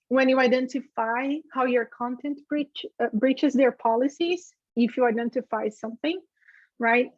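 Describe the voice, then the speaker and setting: A woman's voice: sits high, no echo. A woman who is a native Portuguese speaker, presenting an informal instructional webinar in a conversational, emphatic way, often checking in with listeners. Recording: dull, lo-fi webinar audio.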